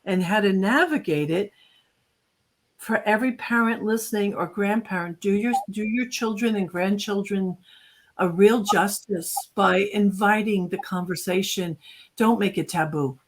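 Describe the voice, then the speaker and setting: A woman with a middle-pitched voice co-hosting an online talk show. She speaks with emphasis and directness. She sounds like a video call. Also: measured pace, a little hiss or hum, poor audio. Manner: emphatic and direct, giving advice. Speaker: co-host.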